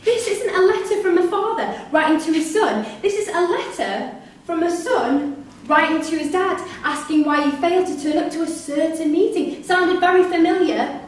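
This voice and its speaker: An actress in a live staged reading playing a disillusioned, confrontational prison worker with an emphatic, pointed delivery. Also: female voice, very high voice, normal speed, hardly any pauses. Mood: accusing. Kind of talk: live theatre acting. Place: theatre performance space in Salford. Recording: echoey hall, distant mic, faint noise.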